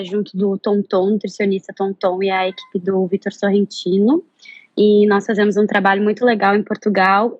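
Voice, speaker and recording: The young Brazilian nutrition guest on a live stream, explaining casually over a dry, slightly dull video-call connection. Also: female voice, medium pitch, no echo. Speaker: nutrition student and practitioner, interview guest.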